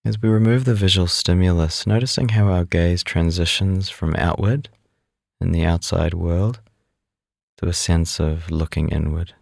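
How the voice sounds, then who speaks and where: A man leading a guided meditation as an instructor, speaking in a calm, unhurried, instructive way. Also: deep voice, gravelly, no echo, clean studio sound.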